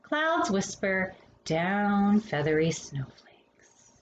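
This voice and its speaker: Female storytime host for children's books: very animated delivery, hushed phrase endings, echoey room. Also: medium pitch, very slow, highs cut off. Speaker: storytime host reading a picture book aloud. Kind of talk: expressive children's storybook reading. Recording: roomy echo with faint background noise.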